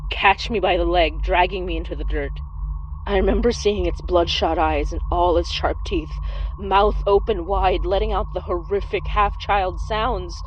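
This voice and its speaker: A woman's voice: lowish voice, highs cut off, slight background noise, close to an everyday voice. A woman playing a wry young woman who recounts a childhood monster chase in a horror audio drama. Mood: tense, hushed. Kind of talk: first-person horror storytelling in audio drama.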